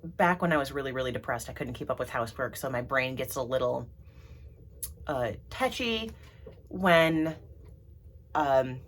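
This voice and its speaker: Low-voiced woman vlogger, stuffy and throaty from allergies, loose rambling delivery. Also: lively intonation, a little slow, pauses often, a little hiss or hum. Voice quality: throaty, froggy. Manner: rambly, many ums and restarts. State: congested from allergies. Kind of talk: rambling casual vlog.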